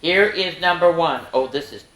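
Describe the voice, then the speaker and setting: A woman's voice: low voice, normal speed. Echoey-voiced woman hosting children's story time. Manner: chatty, animated asides to young listeners. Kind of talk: reading and singing picture books to children. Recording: echoey room.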